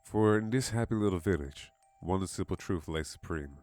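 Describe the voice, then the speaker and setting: A man with a low voice narrates a scripted horror tale. The recording is dry, and music plays softly behind him. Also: lively intonation, moderate pace, dry recording.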